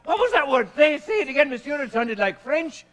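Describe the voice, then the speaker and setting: A flamboyant, swaggering poet-adventurer, spinning wild tales in a high voice. He talks in grand, theatrical, rambling bursts, as in a staged period drama. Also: sing-song, a little fast, a bit bright, heavily acted. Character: witty swaggering male poet posing as a raving space traveler.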